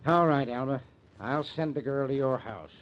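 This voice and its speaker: An actor playing a blunt, suspicious small-town American sheriff with folksy, ungrammatical speech in an old-time radio drama. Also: male voice, mid-range voice, moderate pace, over loud music, close to an everyday voice. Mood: wary, grudging. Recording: dull, muffled old radio recording.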